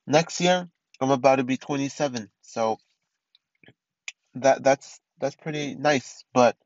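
A man's voice: mid-range voice, a little slow, no echo, muffled recording. A man in his twenties hosting a casual solo podcast, rambling with many restarts and fillers. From East Los Angeles. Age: 20s. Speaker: podcast host.

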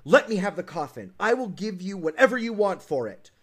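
A man's voice: high-pitched, very animated, a little fast, fairly bright tone, husky, full character voice. A man voicing an earnest, lovestruck young prince from a storybook. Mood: pleading.

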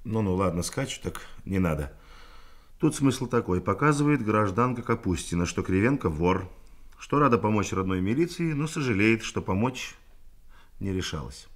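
Low-voiced, unhurried police investigator played by a man. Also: animated, close to an everyday voice. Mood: grave, patient. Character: calm, dry-humoured male police investigator. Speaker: actor. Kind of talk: radio drama acting. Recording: noisy old radio play recording.

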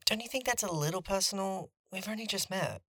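A woman's voice: medium pitch, brisk, sharp tone, dry recording. A woman voicing a practical, world-weary youngster, a terse and wry boy in a fantasy audio drama. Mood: guarded. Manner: terse, matter-of-fact, dry.

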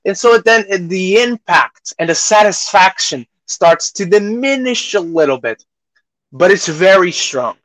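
A man hosting a podcast, chatting casually through film reviews, haltingly repeating phrases and acting out imagined reactions. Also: high voice, animated, a bit bright, dry recording, low-quality recording. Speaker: podcast host reviewing films.

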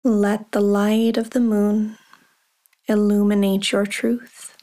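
A woman leading a guided meditation, speaking softly and calmly with long pauses. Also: medium pitch. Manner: slow, soft and calm, with long pauses.

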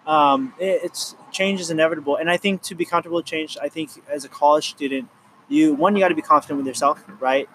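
A young man in his twenties talks in a loose, rambling way, circling back over his points with lots of "you know" and "like." His voice sits a bit high for a man, and a faint hiss runs under the recording. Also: steady tone, fast talker, fairly bright tone, rough recording. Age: 20s. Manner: rambling, earnest, many fillers and restarts. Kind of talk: casual chat.

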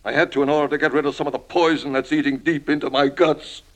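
An older man acting in a 1950s radio drama as a washed-up alcoholic crime reporter, self-pitying and wheedling, with halting, pleading speech. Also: fairly high pitch, steady tone, lightly acted. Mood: bitter, impassioned.

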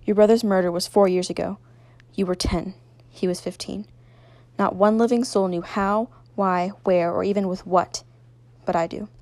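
A woman voicing a cold, menacing overlord of a deadly simulation in a story, making loud, ominous pronouncements. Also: mid-range voice, fairly even intonation, normal speed, no room sound, lightly acted. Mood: cold, taunting.

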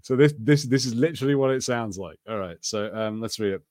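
A man's voice: medium pitch, a little fast, darkish tone, dry recording. Chatty man co-hosting a podcast, dry close sound. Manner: chatty, laughs often. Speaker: podcast co-host. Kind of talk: casual watch-party chat.

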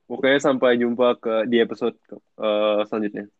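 A man's voice: mid-range voice, steady tone, normal speed, no echo. Young Jakarta man, dull lo-fi sound. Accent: Jakarta Indonesian. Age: young adult. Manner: slangy, mixes in English words. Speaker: podcast co-host. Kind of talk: casual bantering chat.

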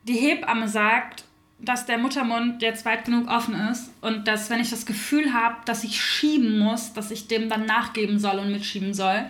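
A woman's voice: on the high side, steady tone, moderate pace, fairly bright tone. A woman telling a scripted first-person account in a plain, frank way. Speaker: performer in an educational audio play.

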